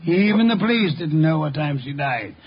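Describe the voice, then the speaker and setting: An actor playing a brilliant, pompous, unflappable detective in a 1950s radio drama, with precise, formal diction. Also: male voice, a little high, animated, moderate pace, breathy edge. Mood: coolly certain. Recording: dull, noisy old radio recording.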